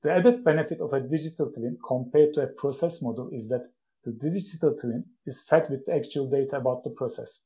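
A man in his forties from Turkey, speaking English, who answers interview questions in a measured, explanatory way. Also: mid-range voice, warm tone. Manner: measured, explanatory, with some restarts. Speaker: guest, company founder.

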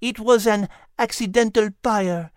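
A man's voice: sits high, fairly even intonation, a little slow, smooth, no room sound, studio quality, pitched above the performer's own voice. A man voicing an earnest, caring female animal character in a storybook narration. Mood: grave, accusing.

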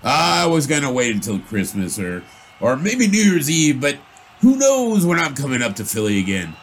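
An actor playing an earnest young suitor who rambles eagerly and stammers in a period audio drama. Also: male voice, medium pitch, huge pitch swings, normal speed. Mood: nervous, eager. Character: earnest, babbling young 1920s suitor and announcer. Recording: noisy outdoor ambience behind.

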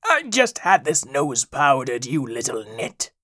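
A man's voice: medium pitch, big pitch swings, a little slow, pushed and bright, dry recording, studio quality. A man voicing a vain, menacing farm cat in a children's story, with a sneering, taunting drawl. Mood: snarling, affronted. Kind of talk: acted children's story narration.

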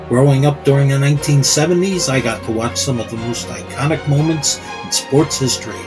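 A middle-aged or older male podcast host with a medium-pitched voice. He narrates a scripted promo, with music clearly playing behind him. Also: poor audio.

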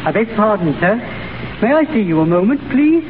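Brisk, deferential male embassy aide. High, animated 1930s radio delivery, reverberant and tinny. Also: a little hiss or hum. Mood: urgent, deferential. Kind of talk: 1930s radio drama acting.

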